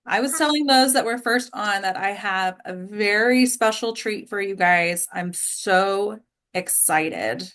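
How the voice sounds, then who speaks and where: A woman's voice: mid-range voice, slow pace, fairly bright tone. An American woman from Texas, chatty and effusive, hosting an online group and welcoming people in casual talk. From Texas, United States. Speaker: host of an online actors' table read. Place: online video call. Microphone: video call.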